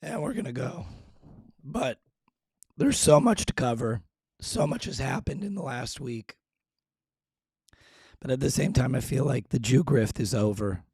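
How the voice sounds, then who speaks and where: A man hosting a live stream, his voice hoarse and raspy, talking low and clearing his throat, making fun of how he sounds. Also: animated, a little slow, long pauses, darkish tone, no echo. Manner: self-deprecating, frequent throat clearing. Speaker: show host. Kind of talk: casual live-stream chat.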